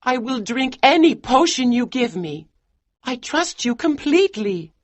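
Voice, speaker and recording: A man's voice: very high voice, big pitch swings, measured pace, no echo, voice pushed up, full character voice. A man voicing a loving, determined young wife in a storybook reading, with an earnest, pleading delivery. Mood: firm. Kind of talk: audiobook character reading.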